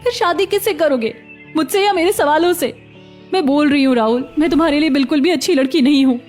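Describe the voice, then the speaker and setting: A high-pitched young beggar woman from an animated story, played by a woman. She is blunt and full of self-doubt, speaking in emotional, dramatic questions over clear background music. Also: quick pace, natural voice. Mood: challenging.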